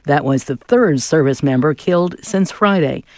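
A woman newscaster reading a national news bulletin with a steady, measured delivery. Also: low voice, no room sound.

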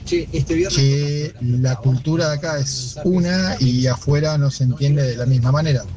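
A man's voice: a little high, a little slow, poor audio. An Argentine man leaving a message for a radio show, explaining his point in a matter-of-fact way. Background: music.

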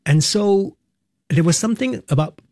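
Mellow-voiced Singaporean man, reflective. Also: high-pitched, animated, normal speed, dry recording, clean studio sound. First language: Cantonese. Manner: reflective, laughs easily, speaks in short restarting phrases. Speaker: interview guest, musical theatre performer. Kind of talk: candid personal storytelling.

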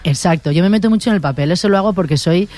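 Woman, radio guest, witty, quick comebacks. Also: on the low side, darkish tone. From Madrid, Spain. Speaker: actress guest. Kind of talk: casual radio chat.

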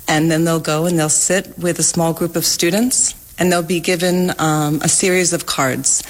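A woman's voice: low-pitched, steady tone, moderate pace, rough recording. A woman, a language arts teacher, explaining things in an interview.

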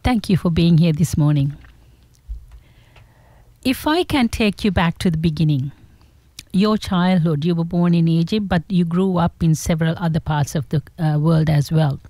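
A woman hosting an interview show, giving a gentle, earnest introduction and then asking questions. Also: fairly low pitch, moderate pace, dry recording.

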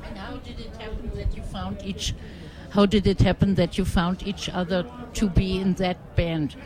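A man's voice: high voice, flat delivery, moderate pace, a little mellow. A man who is a veteran community radio DJ, introducing the station with pride before an interview. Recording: crowd noise behind.

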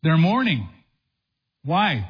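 A male pastor delivers a sermon in a fairly high, husky voice. He phrases things deliberately and emphatically, often posing rhetorical questions and taking his time. The recording is a little dull and muffled. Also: sing-song. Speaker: pastor preaching. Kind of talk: expository sermon to a congregation.

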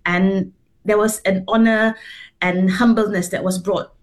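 A woman's voice: mid-range voice, steady tone, unhurried, crowd noise. A middle-aged woman from Singapore, a guest telling her story earnestly over a video call, with fillers and restarts. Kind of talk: remote interview.

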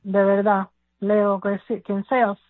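A woman's voice: medium pitch, little pitch movement, takes it slowly, no echo. A woman with a Cuban accent arguing on the telephone in a blunt, combative, informal way. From Cuba. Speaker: prank call recipient. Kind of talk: heated informal phone argument. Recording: muffled, noisy telephone line.

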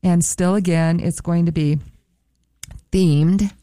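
A woman who works at a museum, talking in a relaxed radio interview and promoting events and admission rates in an upbeat way. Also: on the low side, slow, dry recording, studio quality. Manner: upbeat, promotional, careful over a foreign word. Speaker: museum staff guest.

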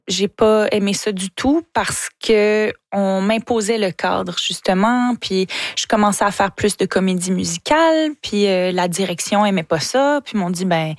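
Lively Québécois woman, dry close sound. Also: medium pitch, dry recording. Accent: Québécois French. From Quebec. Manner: enthusiastic, quick, stumbles and repeats words. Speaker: singer and TV host guest. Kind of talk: casual interview talk.

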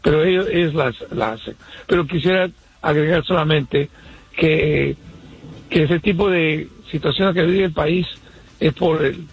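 Husky, gravelly man calling in. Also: fairly high pitch, dry recording, poor audio. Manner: measured, pausing often. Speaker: caller. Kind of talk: call-in radio opinion.

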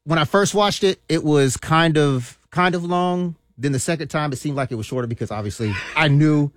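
A man of forty, a guest in a costume, trading playful, halting banter on a studio radio show. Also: on the high side, no echo. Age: 40s. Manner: playful, halting, laughs often.

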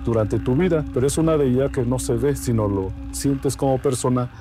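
A man from Oaxaca whose first language is Mazatec, speaking with a Mexican accent as a guest artist in a radio interview, reflective and soft-spoken. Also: mid-range voice, moderate pace, faint music behind. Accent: Mexican Spanish.

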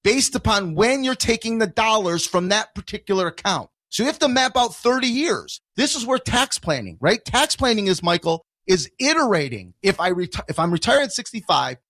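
A man's voice: high voice, sing-song, normal speed, hardly any pauses, a bit bright, no room sound. A man with an American accent explaining things on a radio show as an educator, emphatic, with repeated points and rhetorical questions. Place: radio studio.

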